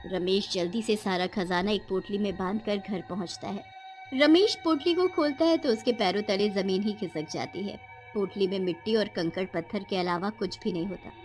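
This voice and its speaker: A woman narrator reading a scripted animated moral tale for listeners. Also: mid-range voice.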